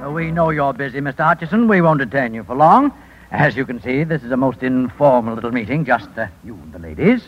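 Fussy family lawyer, husky and lilting. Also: male voice, medium pitch, big pitch swings, brisk, soft background music. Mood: formal, uneasy. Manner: hesitant, formal, apologetic. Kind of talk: 1950s radio drama acting. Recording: muffled, reverberant vintage radio recording.

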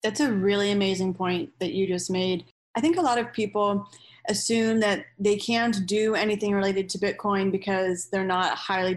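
A woman hosting an interview, asking clear, measured questions. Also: mid-range voice. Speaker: interview host.

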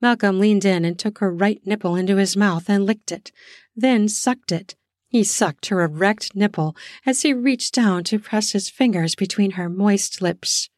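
A woman narrating an erotic audio story in a slow, sensual way, lingering on words for emphasis. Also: mid-range voice, darkish tone, no room sound, studio quality. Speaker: storyteller, podcast host.

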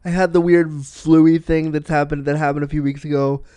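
Male podcast host, slightly high voice, sniffly with a cold, loose and chatty. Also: level tone, normal speed, dark tone, clean tone, no room sound, clean studio sound. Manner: chatty, rambling, repeats himself. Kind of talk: casual solo podcast chat.

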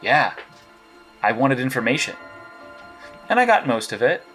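A man's voice: mid-range voice, very animated, unhurried, bright, forceful tone, soft background music. An actor playing a persistent male investigator with a hero license, questioning and pressing in an audio drama. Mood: probing.

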